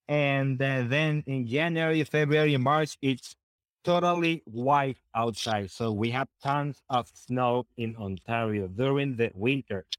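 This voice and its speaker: A middle-aged man with a Honduran Spanish accent talks casually as an interview guest. His voice sits at an ordinary male pitch, recorded dry. He often doubles back on words as he looks for the English phrase. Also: dry recording. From northern coast of Honduras. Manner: halting, repeats words while searching for English phrasing. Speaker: podcast guest.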